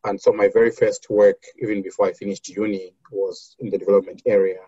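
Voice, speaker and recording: Deep, dark-voiced man from Zambia. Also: little pitch movement, husky, dry recording, highs cut off. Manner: measured, with many fillers and restarts. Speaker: foundation program officer. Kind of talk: interview answers.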